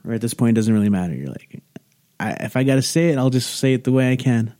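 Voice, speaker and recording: A man hosts a relaxed podcast interview, his voice mellow and dark. He wanders through his questions, restarting them midway and tossing in a joke now and then. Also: mid-range voice, quick pace. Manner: rambling, restarts questions, jokey. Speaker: podcast host. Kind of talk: casual interview chat.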